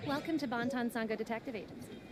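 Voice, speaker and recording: A woman's voice: a little high, fast, faint music behind, lightly acted. A woman playing a dutiful, dryly calculating detective in a video game, formal and matter-of-fact. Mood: informative, businesslike. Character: businesslike, dutiful female detective, dry and calculating.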